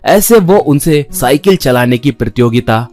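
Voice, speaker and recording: A man's voice: mid-range voice, lively intonation, moderate pace, darkish tone, faint music behind. A man narrating a scripted children's story as the storyteller.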